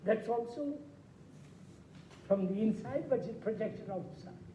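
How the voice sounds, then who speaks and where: A man delivers a reflective lecture in a very dark, mellow voice, speaking slowly and deliberately. The recording is roomy, with faint ambient noise behind him. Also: high voice, dull audio.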